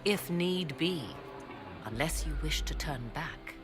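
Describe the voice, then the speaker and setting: A woman playing a haughty, learned mystic in a fantasy video game, speaking in formal, measured, archaic phrasing. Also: deep voice, lively intonation. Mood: cool, composed. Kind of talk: dramatic video game dialogue. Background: video game music.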